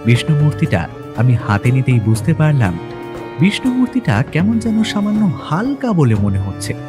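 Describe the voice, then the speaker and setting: A man's voice: a little high, very animated, normal speed. A man narrating a detective story in the first person, in a dramatic scripted audio-story style. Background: background music.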